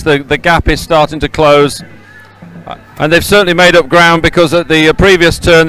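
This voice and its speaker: Clear-voiced man commentating live, higher-pitched. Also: a bit bright, poor audio. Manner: hesitant, halting, often repeating and correcting himself. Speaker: race commentator. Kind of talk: live unscripted sports commentary. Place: outdoors beside a race course.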